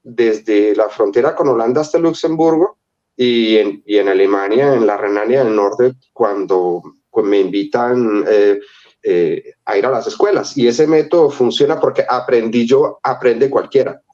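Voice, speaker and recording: A man from Colombia, a guest in an interview, rambling through animated anecdotes and acting out quoted voices. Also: medium pitch, moderate pace, muffled recording. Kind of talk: casual storytelling.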